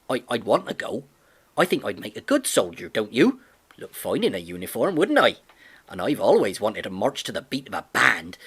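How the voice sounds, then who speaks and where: A man's voice: medium pitch, fairly bright tone, breathy edge. A man reading an audiobook, voicing an earnest, devoted fifteen-year-old farm boy with boyish enthusiasm. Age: 15 (figure). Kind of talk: audiobook character voice.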